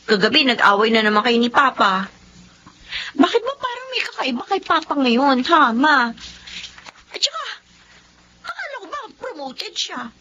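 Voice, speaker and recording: A woman's voice: sits high, very animated, normal speed, long pauses, pushed and bright, full character voice. A young woman playing an anxious, inquisitive student daughter in a Filipino radio drama. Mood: questioning. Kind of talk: Filipino radio melodrama acting. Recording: dull lo-fi audio, clear background noise.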